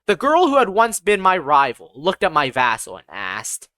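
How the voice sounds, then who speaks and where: A woman's voice: sits low, wildly swooping pitch, normal speed, a bit bright, no room sound, clean studio sound. A woman reading a scripted first-person fantasy audiobook as its narrator.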